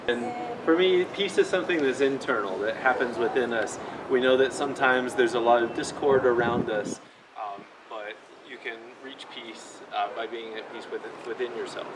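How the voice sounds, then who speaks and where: A man reads a translated account in a plain, measured voice, with the odd filler. The sound is very echoey and distant, with a faint hiss behind him. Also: medium pitch. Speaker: voice-over interpreter. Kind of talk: translated voice-over.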